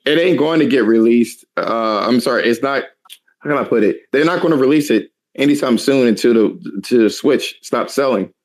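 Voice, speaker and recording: A man in his thirties with a raspy, tired voice, ranting profanely in a heated casual chat. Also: mid-range voice, fairly quick. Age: 30s. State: tired, hoarse voice.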